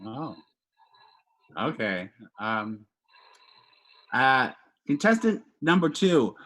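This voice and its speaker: A man hosting a dating-show bit in improv comedy, talking in a hesitant, halting way full of fillers over a home video call. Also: mid-range voice, sing-song, fairly bright tone, rough recording. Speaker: game show host.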